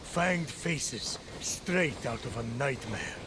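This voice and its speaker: A man's voice: medium pitch, lively intonation, takes it slowly, background noise. A man playing an eloquent, dry-witted storyteller who narrates a myth in a video game. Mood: grand. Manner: grandiose narration, sardonic asides. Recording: music under the voice.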